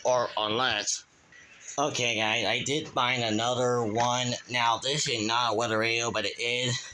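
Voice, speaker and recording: A man hosting his own channel, showing his collection in a halting, rambling way, full of restarts and fillers. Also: mid-range voice, little pitch movement, pushed and bright, a little hiss or hum, rough recording. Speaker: channel host. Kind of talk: casual collection show-and-tell.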